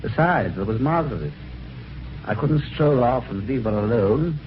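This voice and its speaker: An actor playing a well-mannered, slightly dim British husband in an old-time radio mystery, talking in a chatty, hesitant, old-fashioned way. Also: male voice, medium pitch, lively intonation, normal speed, natural voice. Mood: puzzled, reflective. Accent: British English. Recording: dull, faintly noisy vintage radio recording.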